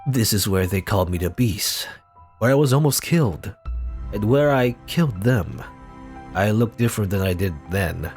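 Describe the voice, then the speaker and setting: A man voicing a brooding male beast-man who hides among humans, speaking in low, hushed, anxious tones. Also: animated, normal speed, soft background music.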